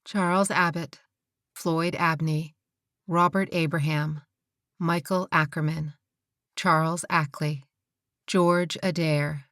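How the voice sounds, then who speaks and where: A woman's voice: sits low, steady tone, takes it slowly, pauses often, fairly bright tone, dry recording, studio quality. A woman formally reading out a list of names for a memorial roll call.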